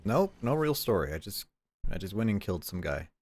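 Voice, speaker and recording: Sarcastic Mexican-accented man, fairly low voice. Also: big pitch swings, a little fast. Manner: sarcastic, profane, self-mocking. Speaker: streamer. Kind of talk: casual gameplay commentary.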